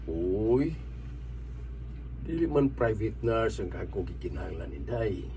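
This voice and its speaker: An actor in a Cebuano comedy radio drama playing a lecherous, teasing older boss who chuckles and leers, with a Cebuano Filipino accent. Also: male voice, fairly low pitch, lively intonation, slow, mellow, slight background noise. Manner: leering, chuckling, teasing asides.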